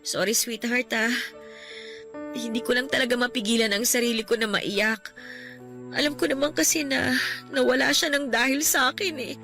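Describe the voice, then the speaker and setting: A woman's voice: on the high side, moderate pace, pauses often, music in the background, natural voice. A woman voicing a grieving young wife who blames herself, tearful and pleading, in a radio drama. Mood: sobbing. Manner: tearful, pleading, self-blaming. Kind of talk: Filipino radio drama acting.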